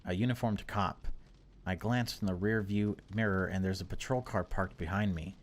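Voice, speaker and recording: A man with a deep, low voice narrates a horror game aloud. He reads it like a storyteller, theatrical and often hushed, as a let's-play host would. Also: steady tone, moderate pace. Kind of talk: dramatic read-aloud narration of a horror visual novel.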